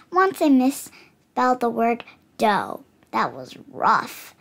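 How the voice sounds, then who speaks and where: High-voiced young girl reading a storybook aloud. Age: child, about 8. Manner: careful, expressive reading with small stumbles. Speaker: child reader of picture books.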